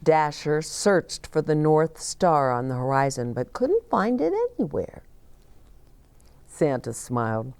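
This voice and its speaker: A woman in her sixties reading a picture book aloud expressively, with dramatic pauses and hushed emphasis. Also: sits low, sing-song, creaky, clean tone, dry recording. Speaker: children's storyteller. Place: small decorated indoor set, seated.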